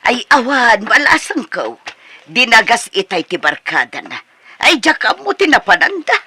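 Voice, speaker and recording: A man's voice: very high-pitched, big pitch swings, normal speed, sharp tone, husky, heavily acted. A man playing a fussy, scolding older mother, doting but nosy, in an Ilocano radio comedy drama, with an Ilocano accent. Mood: motherly.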